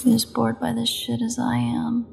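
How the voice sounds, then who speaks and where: A woman playing a defiant captive vampire in an audio drama, snarky, mocking and bitterly flippant, with a gravelly voice. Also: a little high, completely flat delivery, moderate pace, a little hiss or hum. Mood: bored taunting. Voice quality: gravelly, creaky voice. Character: defiant, sarcastic captive female vampire under interrogation. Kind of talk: dramatic audio roleplay monologue.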